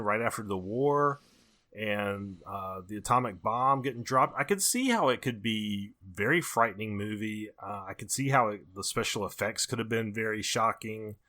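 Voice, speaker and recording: A man's voice: lowish voice, normal speed, sharp tone. An American man co-hosting a podcast, reviewing movies in casual conversation. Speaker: podcast co-host.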